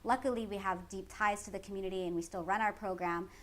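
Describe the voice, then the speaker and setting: A woman's voice: mid-range voice, steady tone, fast. A woman from the San Francisco Bay Area with a Californian American accent, giving articulate, earnest explanations as a community organizer in an interview. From San Francisco Bay Area, United States. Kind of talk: explanatory interview answers about organizing work. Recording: clearly noisy background ambience.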